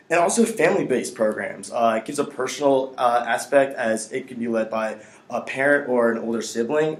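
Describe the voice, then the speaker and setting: Young adult American male, student presenter, halting and hesitant with frequent ums, mid-pitched, recorded in an echoey room. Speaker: student speaker. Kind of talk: prepared classroom presentation.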